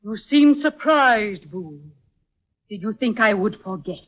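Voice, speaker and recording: A woman's voice: medium pitch, expressive, slow pace. An actress playing a solemn, regal ancient spirit empress in a young woman's body, declaiming formally in old-time radio drama style. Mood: defiant, stately. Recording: muffled, narrow-band vintage radio recording.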